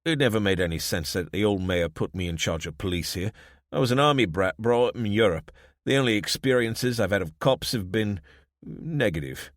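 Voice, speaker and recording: A man's voice: lowish voice, animated, normal speed, no echo, clean studio sound, natural voice. A man voicing a wry, understated man with a London accent who reluctantly leads the town's police. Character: wry middle-aged man, reluctant town police chief.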